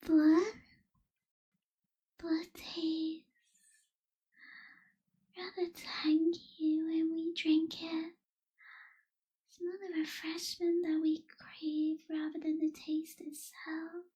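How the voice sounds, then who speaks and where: Casting: actress for melancholy young female vampire, ASMR roleplay; very high, mellow, breathy whisper, wistful and hushed. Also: flat delivery, heavily acted. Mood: melancholy, reflective. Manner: slow, hushed, wistful, gentle pauses. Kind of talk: ASMR roleplay, soft whispered intimate delivery.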